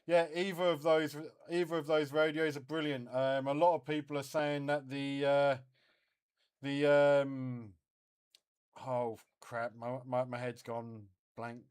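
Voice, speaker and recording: An English man chatting casually as a CB radio operator, halting and repeating his words. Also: mid-range voice, little pitch movement, a little mellow, smooth. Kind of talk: casual radio chat between hobbyists.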